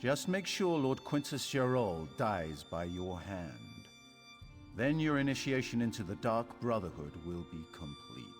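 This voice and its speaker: Deep, slow, sinister cult recruiter. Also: male voice, very animated, faint music behind. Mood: silky, commanding. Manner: theatrical, deliberate, silky menace. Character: sinister, solemn male recruiter of an assassin cult. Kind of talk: video game dialogue.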